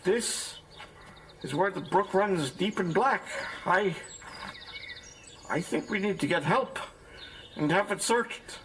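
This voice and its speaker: An actor playing an anxious, fussy farmer in a theatrical audio drama, worried and given to hesitant exclamations. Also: male voice, sits high, lively intonation, slow pace, long pauses, fairly bright tone, slight background noise, heavily acted. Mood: dismayed, anxious. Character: anxious, fussy Dutch-American farmer, grieving over his lost saddle. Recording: music under the voice.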